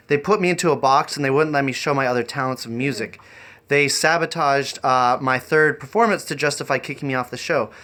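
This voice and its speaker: A man chatting off the cuff on a livestream, rambling and halting in an eccentric way. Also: mid-range voice, a bit bright. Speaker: live streamer and variety performer. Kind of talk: improvised livestream chat.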